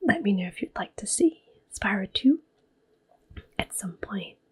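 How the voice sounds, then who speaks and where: A woman in her thirties giving soft-spoken ASMR gameplay commentary, often slipping into a whisper, close to the mic. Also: mid-range voice, sing-song, a little slow, husky. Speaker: ASMR gaming channel host.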